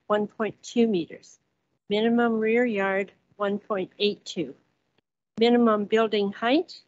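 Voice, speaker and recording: A woman resident giving public comment at a council hearing, reading hesitantly from notes with many pauses. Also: medium pitch, darkish tone, no room sound. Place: council chamber, standing at podium. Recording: dull muffled council chamber recording.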